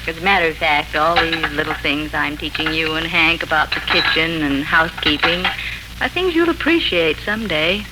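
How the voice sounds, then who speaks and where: A middle-aged woman playing a practical, patient mother in a 1940s radio drama, gently firm and instructive. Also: low-pitched, animated, moderate pace. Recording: hissy 1940s radio recording.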